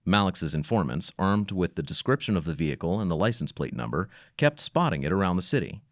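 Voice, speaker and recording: A man's voice: sits low, lively intonation, fairly quick, no echo, studio quality. A man narrating a scripted audio story in a dramatic, slightly wry manner.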